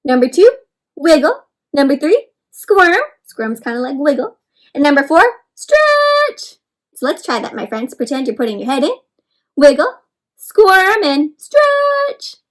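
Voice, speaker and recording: A woman with a high voice reads a picture book aloud to young children. She speaks like an encouraging teacher, talking straight to her listeners, asking them questions and explaining words simply. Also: very animated, unhurried. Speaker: read-aloud storyteller for children.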